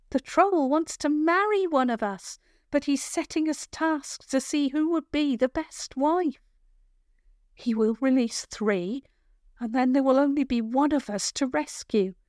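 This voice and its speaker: Woman playing a high-voiced, brisk village girl. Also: a little mellow, no room sound, clean studio sound. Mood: urgent, confiding. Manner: brisk, matter-of-fact, bossy. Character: brisk, confident young village girl with a plan.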